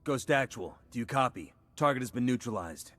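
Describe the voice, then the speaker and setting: An actor playing a gruff, hardened special forces squad leader in a military video game. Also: male voice, medium pitch, expressive, brisk, soft background music, natural voice. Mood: urgent. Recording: loud battlefield and vehicle noise.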